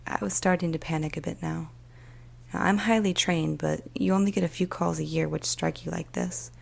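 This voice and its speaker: A woman voicing a weary, troubled police emergency dispatcher in a soft, often whispered ASMR storytelling monologue, speaking slowly and deliberately. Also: on the low side, fairly even intonation, creaky. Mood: anxious. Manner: slow, hushed, deliberate, with pauses. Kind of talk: ASMR storytelling, first-person dramatic monologue.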